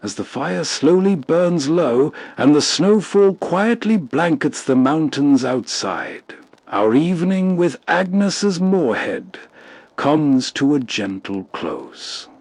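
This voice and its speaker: Soft slow guy narrating a cozy outro, dry close mic. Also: on the high side, sing-song, no echo. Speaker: channel narrator. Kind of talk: scripted narration.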